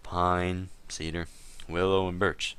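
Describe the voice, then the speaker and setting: Man playing a stern, smooth, very low-voiced town leader. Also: level tone, slow pace, fairly bright tone. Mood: firm, lecturing. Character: stern male town leader in an online-game dispute, stubborn, dryly joking. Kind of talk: dramatic reading of a gaming chat log.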